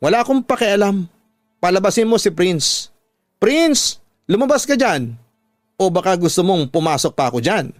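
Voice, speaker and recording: A man voicing an angry, threatening mother in a radio drama, shouting and confrontational as she demands her child. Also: sits high, very animated, moderate pace, dry recording, studio quality, voice pushed up.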